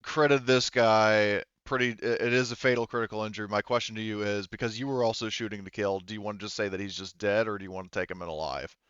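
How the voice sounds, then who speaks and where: A man's voice: fairly low pitch, fairly even intonation, fast, few pauses, fairly bright tone, clear, resonant tone, dry recording, muffled recording. Male game master, clean resonant tone, casual and profane, close dry sound. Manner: profane, informal. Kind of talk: casual tabletop game narration, online session.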